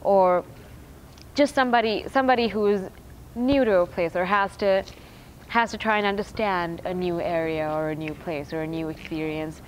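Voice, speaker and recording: A teenage girl from India giving a documentary interview, reflective and halting, restarting her words as she thinks. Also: lowish voice, fairly even intonation, slight background noise. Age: teens. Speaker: student interviewee.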